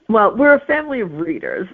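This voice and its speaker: A woman's voice: a little high, breathy edge. A middle-aged woman, a guest author chatting casually in an interview over a narrow phone-like connection. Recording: tinny narrow phone-like line.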